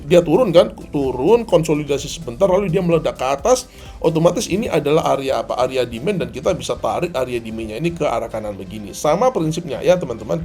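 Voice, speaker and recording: A slightly hoarse man giving a tutorial as a trading instructor, didactic, often asking and answering his own questions. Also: on the high side, a little mellow. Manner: didactic, asks and answers own questions, addresses viewers as friends. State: hoarse, often clearing throat. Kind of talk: tutorial commentary on a chart replay.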